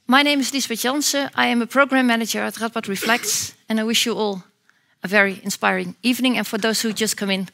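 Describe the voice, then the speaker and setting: A woman's voice: a little high, creaky, bright, forceful tone. A woman in her thirties, a program manager, introducing the evening's speaker from a theatre stage in a plain, friendly manner. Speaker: program manager and event host. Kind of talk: event introduction.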